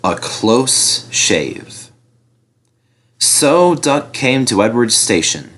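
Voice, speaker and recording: A man reading a children's storybook aloud as scripted, expressive narration. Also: medium pitch, extremely slow, slightly rough. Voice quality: animated, expressive delivery. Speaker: story reader.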